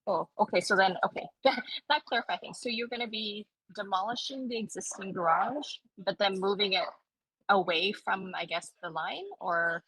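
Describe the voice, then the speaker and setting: A woman's voice: medium pitch, normal speed, a bit bright, husky, no room sound, poor audio. A woman neighbor asking hesitant questions at a public planning hearing, with many fillers.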